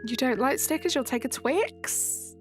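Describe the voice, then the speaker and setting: Chatty young woman streaming over soft music. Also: sits high, expressive, a little slow. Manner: chatty, reads and answers chat while playing. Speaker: live streamer. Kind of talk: casual live stream chat with viewers. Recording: faint background music.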